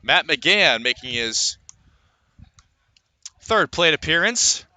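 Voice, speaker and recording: A man calling live baseball play-by-play as a radio announcer, conversational between pitches and lifting his delivery for the action. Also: slow. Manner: lifts into excitement on plays, conversational between pitches.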